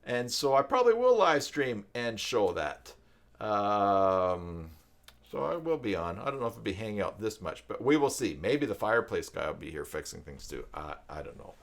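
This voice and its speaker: A man hosting a casual live stream, thinking aloud in a halting, rambling way as he browses. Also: fairly low pitch, very animated. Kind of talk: casual live stream chat, partly reading web pages aloud.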